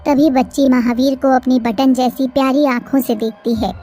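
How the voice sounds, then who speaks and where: A woman narrating a cartoon story in an even storytelling manner. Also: high-pitched, moderate pace, soft background music.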